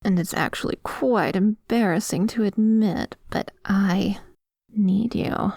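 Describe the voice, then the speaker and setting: A woman playing a dominant, possessive female vampire in an ASMR roleplay, talking in a coy, deliberate and quietly menacing way. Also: mid-range voice, creaky, husky, no echo, studio quality, exaggerated voice.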